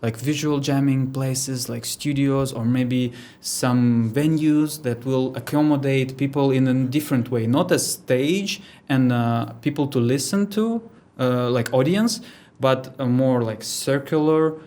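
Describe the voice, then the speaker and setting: A man's voice: medium pitch, a little slow, mellow. A man from Moscow with a Russian accent speaking as a podcast guest, hesitant and earnest, with many fillers. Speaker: guest, creator of a music system. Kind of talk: casual conversation.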